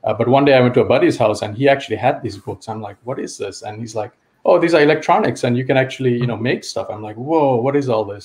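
A man's voice: medium pitch, a little mellow. A man from Fiji talking casually and enthusiastically, as a guest at a show-and-tell. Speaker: show-and-tell guest, electronics hobbyist and engineer.

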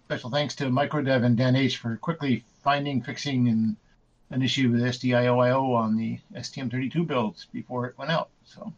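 Male team member, mid pitch, informal, heard through dull low-fidelity call audio. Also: steady tone, fairly quick. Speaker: meeting participant. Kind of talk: casual spoken thanks in online meeting.

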